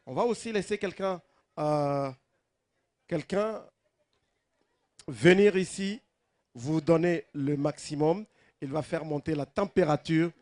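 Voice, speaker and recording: A man's voice: a little high, slow pace, pauses often, a little mellow. A man emceeing a live stage show, formally greeting dignitaries and rallying the audience. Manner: formal announcer, hyping the crowd between acts.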